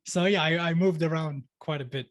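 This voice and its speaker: A man's voice: on the high side, a little fast, no room sound. Chatty young man, dry close sound. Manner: chatty, fills talk with "like", laughs easily. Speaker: podcast guest, sociologist and life coach. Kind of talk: casual interview chat.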